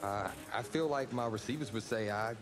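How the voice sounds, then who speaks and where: An actor playing an easygoing, earnest young high school quarterback in a video game cutscene. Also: male voice, moderate pace, lightly acted. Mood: casual, modest. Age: young adult. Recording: music behind the voice.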